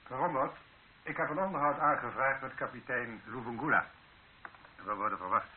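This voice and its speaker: A man's voice: mid-range voice, moderate pace, close to an everyday voice. An actor playing a worried, dignified middle-aged father, speaking with formal restraint in a radio drama. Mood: brisk, curt. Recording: dull narrow-band old radio recording with hiss.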